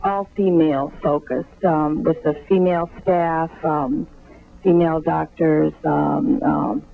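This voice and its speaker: A woman's voice: low-pitched, steady tone, takes it slowly, vocal fry, no room sound. A woman speaking as a guest doctor in a radio interview. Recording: tinny phone-like sound, faint music.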